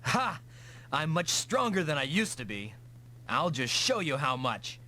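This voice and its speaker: Casting: gung-ho young pilot hero for an anime-style game, confident, animated delivery. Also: male voice, fairly high pitch, a little slow, exaggerated voice. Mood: cocky. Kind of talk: dubbed anime-style video game acting. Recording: noisy vintage console game audio.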